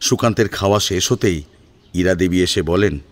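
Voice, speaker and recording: A man's voice: low-pitched, normal speed. A man narrating a dramatised family story in a scripted storytelling style.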